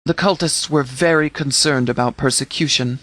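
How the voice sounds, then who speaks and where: A man playing a stern sheriff in a video game, giving formal, measured briefings with authority. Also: mid-range voice, fairly bright tone, no room sound, close to an everyday voice. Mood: grave, resolute. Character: stern, formal sheriff commanding a post-apocalyptic settlement militia. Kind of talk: scripted video game dialogue, military briefing.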